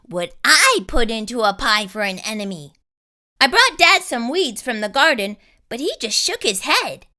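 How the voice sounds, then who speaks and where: A woman reading a children's picture book as an earnest young boy telling his story, in an expressive, drawn-out manner. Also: high voice, exaggerated voice.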